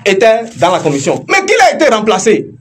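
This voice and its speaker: A man with a Beninese French accent arguing in a heated political debate, often halting and repeating his words. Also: high voice, huge pitch swings, slightly rough.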